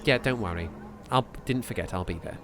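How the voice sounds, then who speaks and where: A man's voice: fairly low pitch, fairly quick, gravelly, music in the background. A man voicing a friendly, slightly flustered young woman estate agent, with casual, hesitant delivery for a visual novel.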